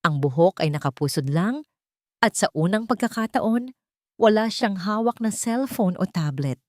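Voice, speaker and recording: A woman's voice: medium pitch, lively intonation, moderate pace, dry recording, clean studio sound. A woman with a Filipino accent reading a scripted, dramatic story as its narrator.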